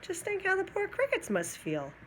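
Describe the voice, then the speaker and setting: Woman reading as a gentle old grandfather cow. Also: extremely high pitch, wildly swooping pitch, normal speed, slight background noise, voice pushed up, exaggerated voice. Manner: gentle, kind.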